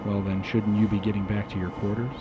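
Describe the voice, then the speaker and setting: Deep-voiced, stern starship officer, acted by a man. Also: flat delivery, a little fast, lo-fi sound, noisy background, lightly acted. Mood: firm, commanding. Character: stern male starship officer with dry, sardonic authority. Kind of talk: audio drama acting.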